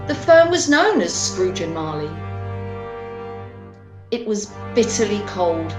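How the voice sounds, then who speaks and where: A woman narrates a radio play with deliberate, dramatic storytelling. Her mid-pitched voice sits in a roomy, echoing space, with faint music behind it.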